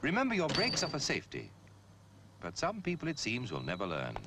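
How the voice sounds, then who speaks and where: Male narrator, very animated, noisy soundtrack. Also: mid-range voice, fairly quick. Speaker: narrator of a road safety film. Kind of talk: scripted public information film narration. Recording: noisy old film soundtrack, traffic sounds.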